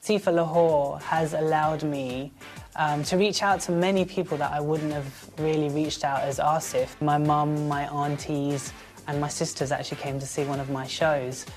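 Candid man, quiet music underneath. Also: a little high, fairly even intonation, normal speed. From Britain. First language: Urdu. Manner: candid, reflective. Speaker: drag performer. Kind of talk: personal documentary narration.

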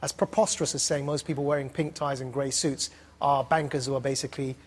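A man's voice: medium pitch, flat delivery, fast talker. A man challenging another panelist with incredulous questions in a studio debate.

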